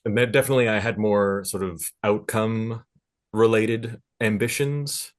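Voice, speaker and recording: A man in his thirties with a deep voice speaks as a panelist. His talk is casual and reflective, with an easygoing, colloquial way of putting things. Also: steady tone, measured pace. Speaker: panelist, music professional.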